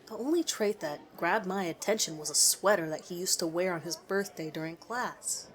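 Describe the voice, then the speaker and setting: A woman's voice: fairly low pitch, normal speed. A woman reading a scripted first-person story aloud as its narrator.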